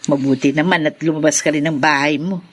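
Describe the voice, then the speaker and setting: A woman's voice: low-pitched, steady tone, fast talker. A woman acting a hospitable, chatty and obliging friend in a Tagalog radio drama. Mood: welcoming, eager.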